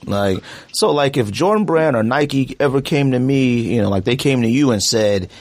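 A man hosting a sneaker podcast in casual chat, slangy and animated, tossing in "huh?" as he goes. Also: medium pitch, normal speed, slight background noise. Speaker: podcast host.

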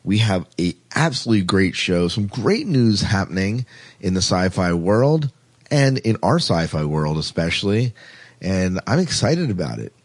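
A man's voice: sits low, very animated, moderate pace, slightly rough, no echo. A man hosting a podcast in a casual, playful, jokey chat style. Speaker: podcast host.

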